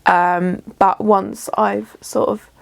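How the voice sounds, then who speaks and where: A chatty woman talking to camera from her home studio. Her voice has a slightly gravelly, creaky texture and sits at an ordinary middle pitch. She sounds a little bunged up and drifts along with easy ums and restarts. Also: level tone, slow. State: slightly bunged up from a runny nose. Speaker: small business vlogger. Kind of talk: casual vlog chat to camera.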